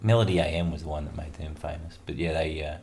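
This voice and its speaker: A man with a very low, deep voice, rambling and joking as he hosts a casual radio show. He fills his talk with "uh" and asides. Faint noise hums under the recording. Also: fairly even intonation, quick pace, a little mellow. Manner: chatty, jokey and rambling, with many fillers. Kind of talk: casual banter between music tracks.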